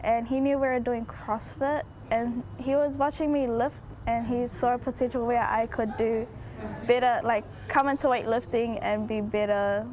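A woman's voice: on the high side, fairly even intonation, normal speed, darkish tone, a little hiss or hum. A teenage girl with a New Zealand accent chatting casually in an interview as a young athlete. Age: teens.

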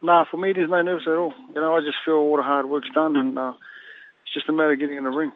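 A Samoan-born boxer being interviewed, talking haltingly and filling pauses with "you know". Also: male voice, on the high side, level tone, no echo. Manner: halting, leans heavily on "you know" fillers. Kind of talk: phone interview. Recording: tinny phone line.

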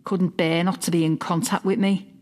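A woman playing a possessive middle-aged mother in a confiding dramatic monologue, smug, mock-innocent and quietly malicious. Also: on the low side, little pitch movement, moderate pace, slight background noise, natural voice. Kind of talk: dramatic monologue, confiding fiction podcast narration.

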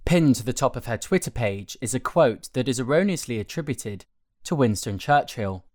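A man narrating a written article in a measured, articulate way. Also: mid-range voice, lively intonation, a little mellow, no room sound, clean studio sound. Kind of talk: scripted audio article narration.

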